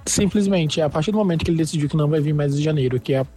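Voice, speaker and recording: A man from sends a voice message to a radio show. His voice sits a little high but sounds mellow. He tells his story in a chatty, confiding, expressive way. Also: rapid-fire, faint music behind. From Brazil. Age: 20s. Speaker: listener sending a voice message. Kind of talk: recorded voice message.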